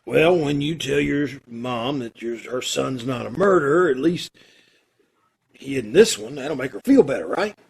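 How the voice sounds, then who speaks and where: Man acting a gravelly, husky condemned prisoner. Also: mid-range voice, sing-song, a little mellow, exaggerated voice. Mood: hesitant, gentle. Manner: hesitant, halting, folksy and informal. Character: condemned male prisoner, kindly, earnest, protective. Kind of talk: old-time radio play acting.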